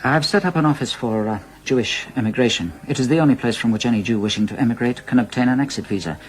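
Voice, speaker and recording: Brisk, obsequious Nazi official played by a man. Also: medium pitch, natural voice, low-quality recording. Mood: deferential, cold. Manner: deferential, formal. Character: obsequious, coldly bureaucratic male Nazi official. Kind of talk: radio drama acting.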